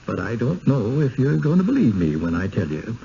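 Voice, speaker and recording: An actor in a 1970s radio drama playing a folksy, uneasy village servant with a rural American accent, halting and plain-spoken. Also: male voice, medium pitch, very animated, gravelly, warm tone. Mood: urgent, foreboding. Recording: muffled, noisy old radio broadcast.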